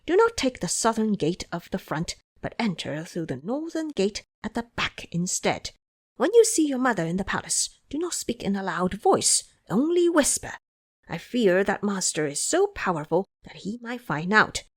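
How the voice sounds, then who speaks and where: A woman voicing a cocky, quick-witted male monkey disciple in an audio drama, brash and persuasive. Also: mid-range voice, big pitch swings. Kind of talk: audio drama character acting.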